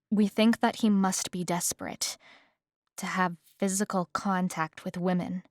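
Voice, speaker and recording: Slow-talking, flirty female police detective, acted by a woman. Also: medium pitch, a little mellow, dry recording, studio quality. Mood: serious, coaxing. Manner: slow, teasing, coy delivery with pauses. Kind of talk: ASMR roleplay, spoken directly to the listener.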